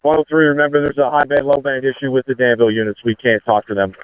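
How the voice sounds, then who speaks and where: A man's voice: medium pitch, level tone, fast talker, clear, resonant tone, no echo. A man giving terse, procedural orders as a fire incident commander over two-way radio. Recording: tinny, band-limited fire radio transmission.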